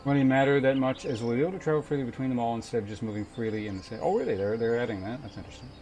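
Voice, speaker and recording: A man's voice: mid-range voice, racing, darkish tone, a little hiss or hum. A man livestreaming a game, chatting casually and thinking aloud in a rambling, sometimes profane way. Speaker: game streamer. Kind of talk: casual livestream chat while playing. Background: game music.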